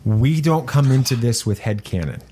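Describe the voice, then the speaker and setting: A man's voice: mid-range voice, expressive, normal speed, dark tone, husky. A middle-aged man co-hosting a podcast in casual fan discussion, speaking emphatically and a little preachy, repeating himself for weight. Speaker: podcast co-host.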